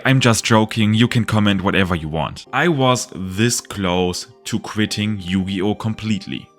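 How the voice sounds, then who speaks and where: A man's voice: medium pitch, fairly bright tone. A man with a German accent talking candidly about a card game in a casual video monologue, rambling and swearing now and then.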